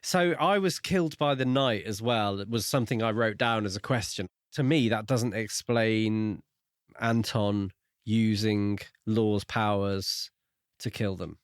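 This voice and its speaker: A man's voice: fairly low pitch, measured pace, clear, resonant tone, no echo, studio quality. A man co-hosting a podcast, casually musing over a book in a tentative, conversational way. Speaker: podcast co-host.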